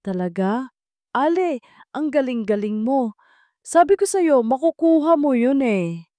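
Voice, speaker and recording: A woman voicing a devoted, gentle, hardworking male janitor in an audio love story. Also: sits high, expressive, a little slow, no room sound, voice pushed up. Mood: warm, proud.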